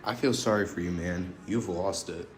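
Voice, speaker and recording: Guy doing a blunt skeptical school friend, lowish voice, audiobook drama. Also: normal speed, slight background noise, close to an everyday voice. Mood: incredulous.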